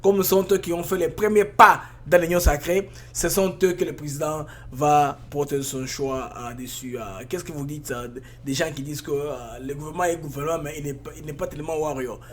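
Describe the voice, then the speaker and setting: Brief: male talk show host, slightly higher voice, animated and probing. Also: fairly quick, hardly any pauses, slight background noise. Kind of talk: political talk show interview.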